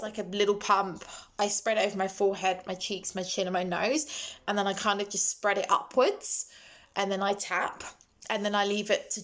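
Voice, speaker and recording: A young woman with a slight lisp talks through her skincare products in a relaxed, chatty way. Her pitch sits in a middle range, and she fills gaps with ums and restarts her sentences. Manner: chatty, with frequent ums and self-corrections. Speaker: skincare vlogger. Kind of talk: casual product walkthrough.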